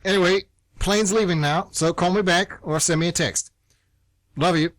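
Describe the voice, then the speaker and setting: A man's voice: high-pitched, moderate pace, a bit bright, dry recording. A lovesick young man in denial, acted by a man in a radio play. He speaks tersely and earnestly, stubborn and defensive, recorded close with very little room around him. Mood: pleading, awkward. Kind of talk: stage-play dialogue read as radio theatre.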